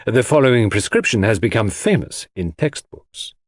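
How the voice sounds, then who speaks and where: A man voicing a self-assured detective who presents his deductions with impressive, deliberate flourish in an audiobook. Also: fairly low pitch, very animated, dry recording, clean studio sound. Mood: methodical, confident. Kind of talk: audiobook character dialogue.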